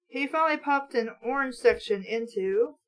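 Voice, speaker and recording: A woman's voice: high voice, normal speed. A woman reading a story aloud and breaking in with sarcastic, exasperated, sweary commentary as host of a mock book show.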